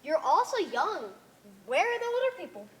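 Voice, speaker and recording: A woman's voice: extremely high pitch, big pitch swings, normal speed. A woman on stage playing a bewildered young woman stranded among strange cultists, alarmed, questioning and indignant. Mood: shocked questioning. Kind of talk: amateur one-act stage play acting.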